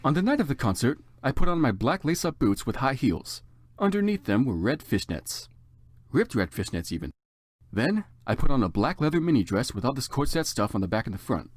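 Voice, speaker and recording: A man's voice: mid-range voice, big pitch swings, fairly quick, dry recording, clean studio sound, faint music behind. A man giving a dramatic, comedic story reading as narrator, with theatrical and exaggerated emphasis.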